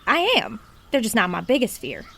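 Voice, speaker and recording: An actress playing a timid, folksy young waitress, speaking in nervous, hesitant rural turns of phrase. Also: female voice, fairly high pitch, big pitch swings, fairly quick, gravelly, pushed and bright, husky, exaggerated voice. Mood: fretful. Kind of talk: radio drama acting.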